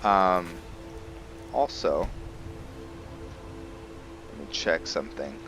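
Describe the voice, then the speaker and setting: A man giving casual commentary on a roleplay game, halting and musing as he thinks aloud. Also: sits low, fairly bright tone. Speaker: host of a game roleplay series. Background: background music.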